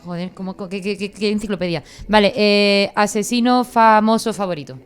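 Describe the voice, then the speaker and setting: A woman's voice: medium pitch, moderate pace, smooth, soft background music. A woman co-hosting a podcast, asking questions in casual chat. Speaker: podcast co-host. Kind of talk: casual quick-fire questioning.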